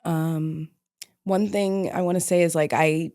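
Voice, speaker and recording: A woman's voice: low-pitched, fairly even intonation, unhurried, warm tone. A woman speaking as a podcast guest in a candid personal conversation, informal and reflective in how she talks.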